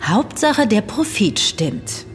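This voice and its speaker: A woman's voice: mid-range voice, very animated, takes it slowly. An actress playing a polite, composed, well-informed woman in a German audio drama, articulate and matter-of-fact. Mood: critical, composed. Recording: reverberant hall with music underneath.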